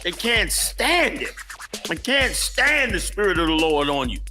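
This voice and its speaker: A man's voice: sits high, sing-song, measured pace, pushed and bright, faint music behind. A man from the Bronx, ranting emphatically as co-host in a casual commentary chat. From the Bronx, New York. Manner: heated, emphatic, preachy.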